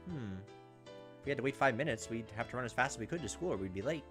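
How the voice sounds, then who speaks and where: A man's voice: medium pitch, expressive, quick pace, fairly bright tone, faint music behind. A man doing a casual let's play, reading a visual novel aloud and adding his own informal asides. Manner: informal, reacts to the story as he reads. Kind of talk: casual gameplay narration with asides.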